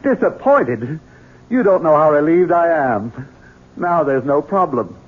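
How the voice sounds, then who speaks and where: An actor playing an exasperated, hot-tempered gentleman husband in a radio drama, with a gruff, blustering manner. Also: a little high, very animated, measured pace, exaggerated voice. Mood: relieved. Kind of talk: 1970s radio drama acting. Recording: muffled, phone-like old radio broadcast.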